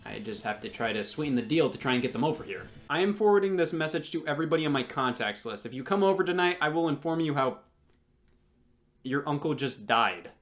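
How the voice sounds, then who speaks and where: A man's voice: a little high, lively intonation, fast talker. A man delivering scripted comedic sketch commentary in an exasperated, sarcastic way. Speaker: comedy video host.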